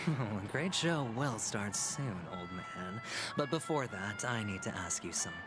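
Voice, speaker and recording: A man's voice: lowish voice, animated, unhurried. An actor playing a sly, sardonic man in a video game, with a languid, mocking manner. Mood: mocking amusement. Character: sly, sardonic man amused by morbid things. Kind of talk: scripted video game dialogue. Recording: loud music under the voice.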